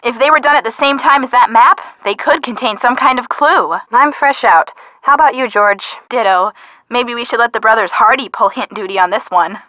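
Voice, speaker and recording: A woman playing a young, chatty, teasing friend of a detective in a video game, with an American accent and an expressive, playful delivery. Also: on the high side, normal speed, no echo, highs cut off. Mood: helpful, coaxing.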